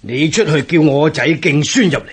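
An actor playing an indignant, excitable general in a historical radio drama, declaiming with a husky voice. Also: male voice, on the high side, moderate pace. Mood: grave, weary. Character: blustering, indignant turncoat army general.

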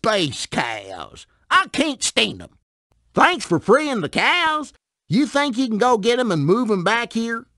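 A man's voice: extremely high pitch, huge pitch swings, takes it slowly, pushed and bright, no room sound, studio quality, full character voice. A man voicing a simple, good-natured video game farmer with a folksy rural American accent. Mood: earnest, folksy. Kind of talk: video game character dialogue.

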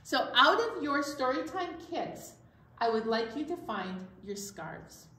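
A woman's voice: sits high, sing-song, takes it slowly, pushed and bright. A middle-aged woman hosting a storytime for young children, explaining things simply and speaking to them directly. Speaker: children's library storytime host. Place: indoor library storytime room. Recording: roomy, distant mic, faint room noise.

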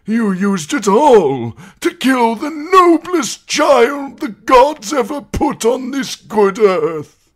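Pycelle, a quavery old maester. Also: male voice, very high-pitched, sing-song, slow, fairly bright tone, voice pushed up, full character voice. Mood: quavering accusation. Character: frail quavering old male maester, accusing.

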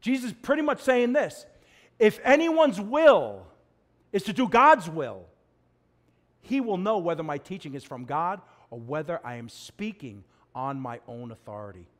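A middle-aged man preaches from a church pulpit, his voice climbing high and falling low. His delivery is expressive and animated, with loud emphatic peaks and hushed low passages. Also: measured pace. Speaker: pastor. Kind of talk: sermon.

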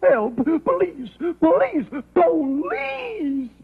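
Squeaky frantic little veggie guy crying he's innocent, voice jumps all over, old muffled cartoon track w/ faint music. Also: very animated, extremely slow, full character voice. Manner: shrill, frantic and pleading. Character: panicky little vegetable suspect crying innocence. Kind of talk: 1930s cartoon voice acting.

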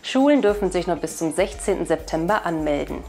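A woman's voice: lowish voice, animated, a little fast, dark tone, faint music behind. A woman in her thirties with a German accent presents scripted local news as the host of a weekly video bulletin. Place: indoor video studio. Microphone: lapel mic.

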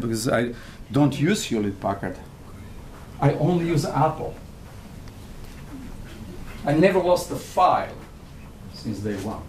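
A man's voice: mid-range voice, slight background noise. A man in his sixties from Croatia, speaking English with a Croatian accent, giving an emphatic, provocative keynote lecture and moving about the stage. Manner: emphatic, provocative, pacing and gesturing. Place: large conference hall. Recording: echoey hall from far off.

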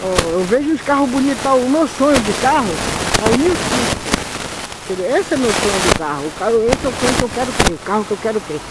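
A man's voice: very high-pitched, animated, quick pace. A young man from Manaus with an Amazonas Brazilian Portuguese accent, chatting casually in a rambling, slangy way while riding a motorcycle. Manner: rambling, slangy, repeats himself. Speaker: motovlogger. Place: outdoors on a motorcycle on city roads. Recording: loud road and wind noise.

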